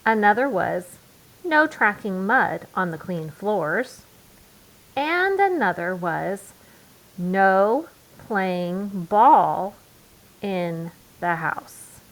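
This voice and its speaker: A woman narrating a children's storybook aloud in a deliberate way, with emphatic pauses. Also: medium pitch, sing-song, poor audio. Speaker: children's book reader.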